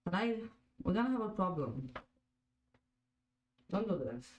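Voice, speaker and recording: Fan-stream host, woman in her twenties, Croatian native, low pitch, slow relaxed delivery, chatty. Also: slight background noise. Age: 20s. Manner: chatty, laughs often, reads out viewer comments. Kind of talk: casual live watch-along chat.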